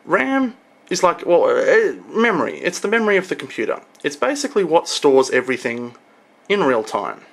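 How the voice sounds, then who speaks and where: A young adult man talking informally to a camera in a home room, explaining things in a halting, casual showcase style. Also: fairly high pitch, very animated, gravelly. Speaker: tech vlogger. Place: small home room, indoors.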